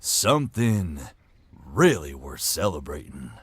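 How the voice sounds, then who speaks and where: A man's voice: very deep voice, sing-song, slow pace, a bit bright, full character voice. A man voicing a proud, grumbling small-town food seller in a video game, with a folksy, theatrical way of talking. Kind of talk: video game character acting.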